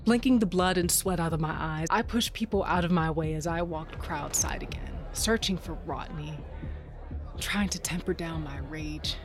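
A woman's voice: low-pitched, normal speed, soft background music, natural voice. An actress playing Tasha, a tough, rage-driven woman cage fighter, narrating in the first person in an audio drama with a brooding, dramatic delivery. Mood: brooding, intense.

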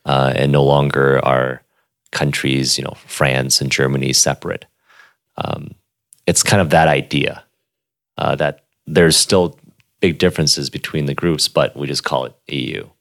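A man who hosts a relaxed interview, affirming often and asking open questions. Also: very deep voice, measured pace, no echo, studio quality. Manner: relaxed, affirming, with frequent backchannels. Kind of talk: casual conversational interview.

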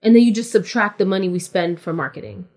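A woman's voice: medium pitch, big pitch swings, quick pace, darkish tone. A woman from California, a podcast guest in casual interview chat, explaining things matter-of-factly and step by step with frequent "like".

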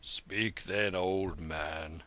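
Man as a hearty old soldier, unhurried. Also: low voice, steady tone, bright, forceful tone, voice pushed down, full character voice. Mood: gruff. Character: old male soldier, gruff, hearty veteran officer.

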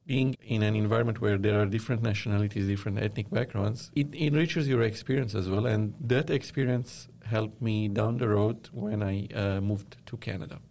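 Low, creaky Lebanese-accented man. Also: steady tone, moderate pace, few pauses, a little mellow, a little hiss or hum. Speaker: interviewed media company founder. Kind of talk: interview answers, explanatory.

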